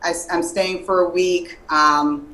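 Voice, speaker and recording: A woman from Miami speaks as a guest in a casual interview. Her voice is a little low, and she talks earnestly, stressing points by repeating them and stacking up short lists. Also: steady tone, takes it slowly, sharp tone. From Miami, Florida. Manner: earnest, emphatic repetition and listing. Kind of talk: casual conversational interview answers.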